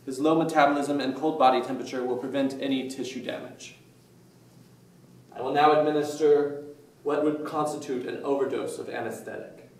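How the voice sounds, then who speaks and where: A man's voice: medium pitch, fairly even intonation, fairly quick, lightly acted. A man acting a clinical, self-assured doctor who presents to an audience with formal showmanship in a staged play reading. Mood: assured, presenting. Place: indoor room, staged reading recorded from afar. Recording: echoey room, distant mic.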